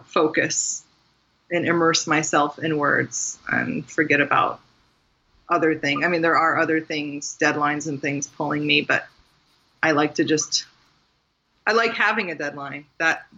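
A woman's voice: deep voice, fairly even intonation, a little slow, creaky, bright, forceful tone, breathy edge, muffled recording. A woman from Maryland, a guest author, talking casually in an interview with frequent fillers and laughs. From Maryland, USA. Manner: chatty, laughs often, many fillers.

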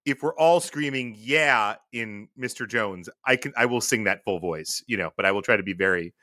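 Podcast guy w a loud bright voice jumping in a lot, dry close sound. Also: fairly low pitch, moderate pace, no room sound. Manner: loud voice, eager interjections. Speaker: podcast host. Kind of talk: casual podcast chat.

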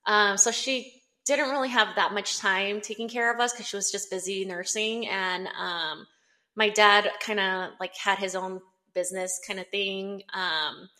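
Bright-voiced chatty woman guest. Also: medium pitch, fairly even intonation, measured pace. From Southern California. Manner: chatty and giggly, with many fillers. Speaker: guest business owner. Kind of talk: casual podcast interview.

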